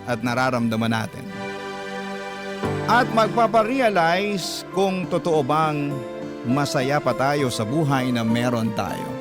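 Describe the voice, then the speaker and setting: Guy radio host narrating a love letter, sentimental n measured, mid voice, loud music bed. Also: medium pitch, animated. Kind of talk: slow dramatic scripted narration of listeners' letters. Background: loud background music.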